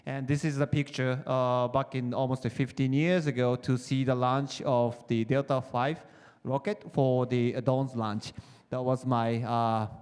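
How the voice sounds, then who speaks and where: Japanese accent man, smooth voice, lots of uhs, panel talk in an echoey hall. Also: medium pitch, flat delivery, darkish tone. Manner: halting, many "uh" fillers, non-native phrasing. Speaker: planetary geologist presenting on a panel. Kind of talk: short conference presentation. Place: conference hall.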